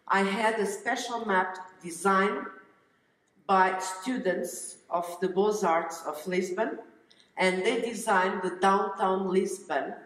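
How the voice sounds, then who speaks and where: A woman in her fifties from Angola, speaking English on a conference panel, deliberate and careful, with phrasing. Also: lowish voice. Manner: slow and deliberate, non-native phrasing. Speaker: relocation consultant on a conference panel. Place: large conference hall stage. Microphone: headset mic. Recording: roomy hall with long reverb.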